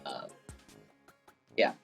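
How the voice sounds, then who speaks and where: A man's voice: extremely slow, a bit bright. A man giving casual, rambling game commentary as a video creator, talking like an enthusiastic fan with some mild swearing. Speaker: gaming video creator and host. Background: video game music.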